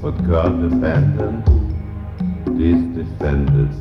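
A man solemnly reciting poetry in a grave, measured manner. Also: a bass voice, animated, loud music behind the voice. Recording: muffled, echoing radio play excerpt.

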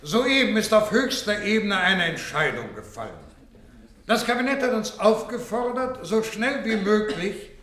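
Radio play: officious senior director, high voice for a man, formal bureaucratic address, echoey room. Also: expressive, a little slow, bright, forceful tone, exaggerated voice. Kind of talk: German radio play acting. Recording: echoey hall sound.

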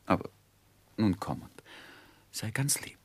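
A man's voice: fairly low pitch, sing-song, slow, breathy edge, whispered, exaggerated voice. An actor playing an earnest, tender, lovestruck young man who tells his life in a 1960s German radio drama, with careful literary phrasing. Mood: tender, coaxing.

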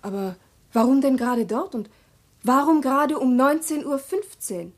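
A woman's voice: high-pitched, expressive, normal speed, darkish tone. An actress in a German radio drama playing a young wife who keeps pressing her husband with questions. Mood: probing. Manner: questioning, insistent. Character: anxious, probing young wife. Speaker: wife Pamela.

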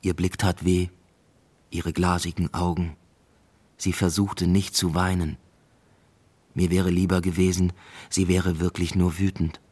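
A man's voice: low-pitched, little pitch movement, measured pace, pauses often, a little mellow, slightly rough. An actor playing a subdued, evasive husband who narrates his unravelling marriage in a restrained, literary radio play style.

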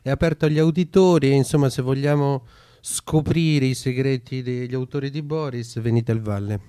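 Mellow-voiced man presenting on radio. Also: medium pitch, fairly even intonation, moderate pace, clear, resonant tone. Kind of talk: informal event announcement.

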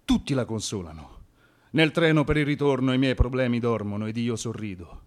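A man's voice: mid-range voice, animated, brisk. A man telling a comic first-person story for radio in a theatrical, colloquial way. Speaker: actor-narrator.

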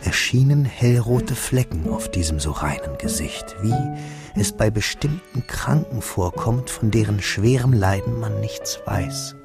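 A man playing a shy, earnest young Frenchman who narrates his memories in a reflective, measured, literary radio drama style. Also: fairly low pitch, lively intonation, vocal fry, over music. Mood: dreamy, reflective. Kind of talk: scripted first-person radio drama narration.

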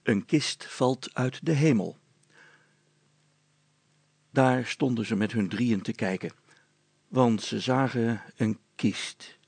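A male storyteller reading a children's tale in a dramatized way. His voice is mid-pitched, husky and full of creak, recorded very dry. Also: darkish tone, no room sound. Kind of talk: dramatized children's audiobook narration.

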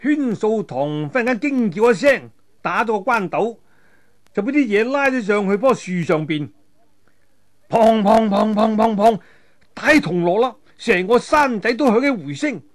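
Male traditional storyteller for martial-arts serial; higher-than-usual voice, very lively melody, folksy delivery. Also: a little slow. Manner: colloquial, animated, with spoken sound effects.

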